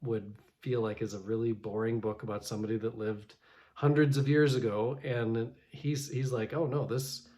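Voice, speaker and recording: A pastor talks through a morning reflection in a loose, conversational way, often restarting his sentences. His voice sits at an ordinary middle pitch for a man. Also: steady tone, moderate pace, dark tone. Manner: conversational, many restarts and fillers. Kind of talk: casual devotional reflection.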